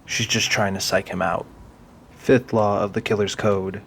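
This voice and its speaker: A man's voice: lowish voice, little pitch movement, measured pace, vocal fry, a little hiss or hum. A man narrating a scripted audio drama.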